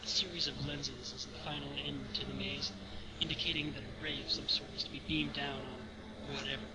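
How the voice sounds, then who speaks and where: A man's voice: medium pitch, level tone, brisk, very noisy. A man narrating stage directions in an informal read-aloud of a screenplay.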